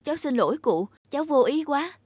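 Polite, caring young wife, music behind. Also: female voice, high-pitched, lively intonation, brisk. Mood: concerned. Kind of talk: cartoon drama voice acting.

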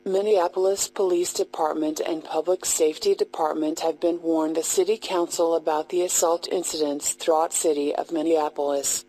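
A woman reading a scripted emergency announcement in a measured, impersonal, formal way. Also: on the low side, warm tone, rough recording.

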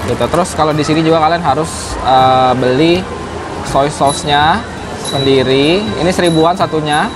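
A man's voice: on the high side, normal speed, noisy background. A young man casually reviewing food as a vlogger. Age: young adult. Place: mall food court.

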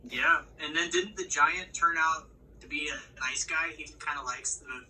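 A woman's voice: low-pitched, unhurried, sharp tone, slight background noise, rough recording. A woman talking casually with a small child in a bedroom, encouraging and prompting with questions. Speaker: parent chatting with a young child. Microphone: handheld phone, close by.

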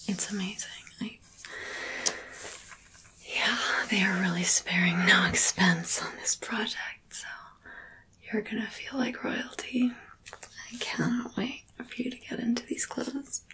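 A young woman speaking in a soft, breathy whisper as a wardrobe fitter in an ASMR roleplay, gentle, unhurried and reassuring, close to the mic. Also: medium pitch, rough recording. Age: young adult. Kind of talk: soft-spoken ASMR roleplay, personal attention.

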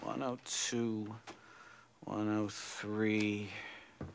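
A man's voice: on the low side, level tone, drawn-out words. An actor playing a preoccupied male office clerk in a game cutscene, droning in clipped, absent phrases over noise. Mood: absorbed. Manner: counting aloud absently, clipped. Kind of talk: video game cutscene acting.

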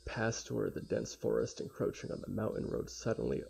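Very gravelly young man, casual gamer. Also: on the low side, sing-song, a little mellow. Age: young adult. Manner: casual, halting, sweary. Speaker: let's play streamer. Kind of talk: reading a visual novel aloud with casual commentary. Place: small room at home. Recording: dull lo-fi mic with faint noise.

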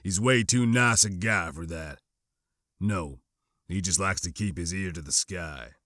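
A man voicing a serious, wary stormtrooper who speaks slowly and firmly in an audiobook. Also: deep voice, a bit bright, no room sound, studio quality, pitched below the performer's own voice. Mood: matter-of-fact. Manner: slow, firm, serious. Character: grave, wary male stormtrooper, guarded and cautioning.

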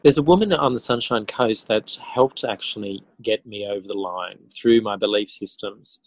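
Male interview guest, husky and lowish, casual and halting delivery. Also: no echo, rough recording. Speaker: interview guest, author and educator.

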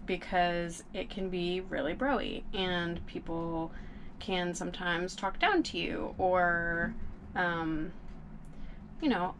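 A young woman sitting in a small boat cabin, casually reviewing craft beer with a relaxed, laughing manner. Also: on the low side, slight background noise. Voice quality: relaxed, laughs often, drawn-out fillers.

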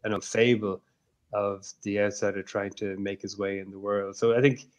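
A man, speaking into a headset on a video call, reflecting on a film in a steady, thoughtful way. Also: lowish voice, moderate pace, clear, resonant tone, lo-fi sound. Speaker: film producer. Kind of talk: casual interview answers. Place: indoor room at home.

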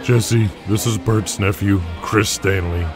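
A man's voice: fairly low pitch, fairly even intonation, takes it slowly, over music, a crowd in the background. A man voicing a big, hearty wrestling hero with a folksy cowboy way of talking. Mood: friendly, amused. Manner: folksy, drawling cowboy talk.

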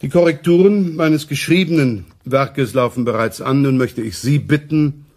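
Man playing pompous male professor, self-important, oratorical, slightly husky, radio drama. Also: mid-range voice, darkish tone, lightly acted. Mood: grave, self-important. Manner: pompous, oratorical, deliberate phrasing. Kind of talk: German radio play acting.

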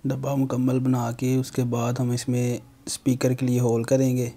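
A young man hosts a how-to tutorial. His mid-pitched voice stays very even in tone as he explains each step plainly, like an instructor. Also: normal speed. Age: young adult. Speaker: how-to video host.

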